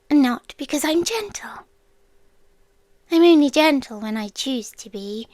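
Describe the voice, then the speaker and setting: A British woman voicing an ancient, powerful female watcher spirit, possessively devoted, in an intimate ASMR roleplay, speaking deliberately and often dropping to a whisper. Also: high voice, no room sound, heavily acted. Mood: solemn, possessive. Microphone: close microphone, dry.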